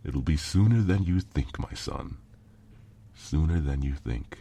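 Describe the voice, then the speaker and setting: A man's voice: extremely low pitch, expressive, measured pace, warm tone, a little hiss or hum, heavily acted. A man playing a stern, proud pony father and guard veteran, lecturing emphatically in a fan-made audio drama. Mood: earnest.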